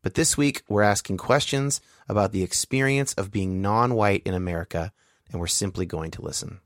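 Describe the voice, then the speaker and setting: Earnest low-voiced man hosting a podcast. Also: dry recording, studio quality. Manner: earnest, measured, explanatory. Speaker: podcast host. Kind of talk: podcast narration and interview.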